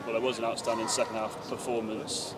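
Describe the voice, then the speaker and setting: A man's voice: on the low side, level tone, fairly quick, recorded from a distance, very noisy. A man asking questions as a post-match football interviewer, off mic outdoors at a stadium. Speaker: club interviewer.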